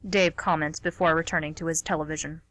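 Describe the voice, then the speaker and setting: A woman's voice: low-pitched, little pitch movement, quick pace, fairly bright tone. A woman reading scripted story narration as part of a radio theatre broadcast. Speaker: narrator and co-host.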